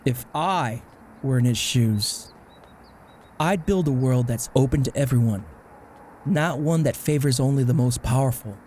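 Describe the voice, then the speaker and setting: A man's voice: medium pitch, unhurried, darkish tone, a little hiss or hum, natural voice. A man playing an easygoing, self-deprecating middle-aged father in a comic dub, with a casual, reflective way of talking. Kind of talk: amateur comic dub acting.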